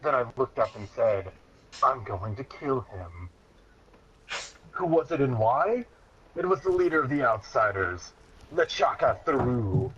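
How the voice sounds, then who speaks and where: A man reads a story aloud with mock-serious drama, prone to cracking up as he goes. His voice sits at a middling pitch for a man. The recording is dull and lo-fi, with clear noise behind him. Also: big pitch swings, measured pace, long pauses, a bit bright. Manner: mock-serious, often breaking into laughter. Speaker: reader of a childhood story.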